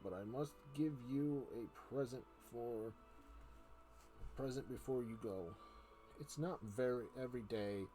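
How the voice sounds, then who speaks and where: A man's voice: medium pitch, a little slow, dark tone, soft background music, close to an everyday voice. A man voicing a sly, creepy old uncle from a storybook, falsely genial and coaxing. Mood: wheedling. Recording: noticeable background noise.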